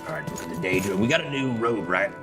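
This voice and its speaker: A man's voice: fairly low pitch, expressive, fast talker, over loud music. A man giving casual, sweary, irreverent commentary while streaming a video game. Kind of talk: casual live gaming commentary.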